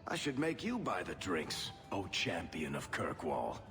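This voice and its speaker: An actor playing a roguish, world-weary tavern storyteller in a video game, glib, wry and familiar. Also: male voice, low-pitched, huge pitch swings, measured pace, exaggerated voice. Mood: wry, teasing. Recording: long hall reverb, music behind.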